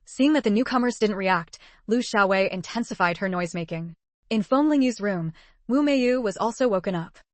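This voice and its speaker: A woman narrating a translated web novel in an even, measured storytelling voice. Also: medium pitch, no room sound. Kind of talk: scripted audiobook narration.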